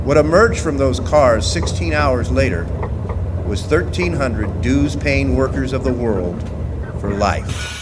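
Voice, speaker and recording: A man's voice: mid-range voice, animated, slow. Man acting a plainspoken old-time miner, noisy recording. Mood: reflective, recounting. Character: plainspoken male copper miner recounting his 1917 deportation. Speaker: actor narrating a radio drama. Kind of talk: first-person radio drama narration and dialogue. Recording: noisy live stage radio play recording.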